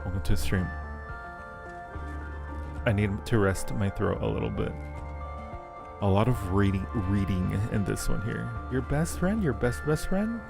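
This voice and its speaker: A man's voice: fairly low pitch, lively intonation, a little slow, creaky, long pauses. A man hosting a livestream in casual chat, playful and fond of repeating his phrases, with faint music behind him. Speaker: livestream host.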